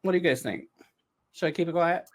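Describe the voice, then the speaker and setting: A man's voice: fairly high pitch, quick pace. A man hosting a casual behind-the-scenes group chat in a playful, teasing manner. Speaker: podcast host and writer.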